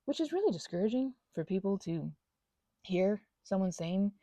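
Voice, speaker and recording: A little female goblin , acted by a woman, rambles through an intimate ASMR monologue in a soft, dry voice with some creak. She is anxious and self-deprecating, breaking off mid-thought to soothe herself, her pitch wandering freely up and down. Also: lively intonation, dry recording, lightly acted. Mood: earnest, hushed. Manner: rambling, halting, self-interrupting, self-soothing asides.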